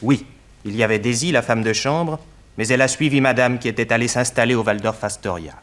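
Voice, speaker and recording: A man's voice: mid-range voice, brisk, a bit bright, natural voice. A man playing a deferential household servant, formal and matter-of-fact, in a 1960s French radio drama. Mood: sober, factual. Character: deferential male household servant, plain and loyal.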